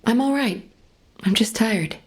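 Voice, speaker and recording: An actress playing a serious, composed operations officer in a game cutscene, formal and earnest. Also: female voice, a little high, a little slow, breathy edge.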